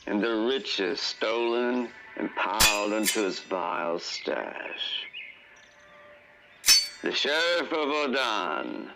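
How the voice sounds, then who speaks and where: A man acting as a grave, conspiratorial storyteller in a dark fantasy radio drama, speaking dramatically with deliberate pauses. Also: mid-range voice, heavy background noise. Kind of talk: theatrical radio drama narration. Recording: music under a remastered radio production. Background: music.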